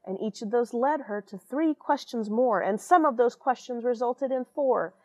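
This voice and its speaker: A woman's voice: fairly high pitch, lively intonation, moderate pace, mellow, no echo. An American woman in her forties reading a children's storybook expressively, with dramatic emphasis and friendly asides to young listeners. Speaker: astronaut reading a children's picture book. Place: small equipment-filled space station airlock.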